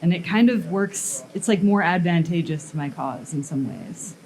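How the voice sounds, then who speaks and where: A woman's voice: on the low side, lively intonation, normal speed, gravelly, darkish tone, poor audio. A woman blacksmith and sculptor giving a live workshop demonstration to an audience, talking casually and thinking aloud with fillers and restarts. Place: metal workshop at a smithing event.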